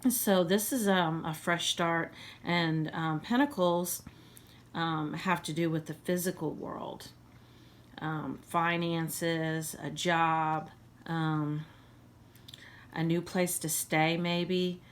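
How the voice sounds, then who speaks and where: Hoarse low-voiced woman reading tarot. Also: steady tone. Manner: halting, many ums, clears throat often. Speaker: tarot reader.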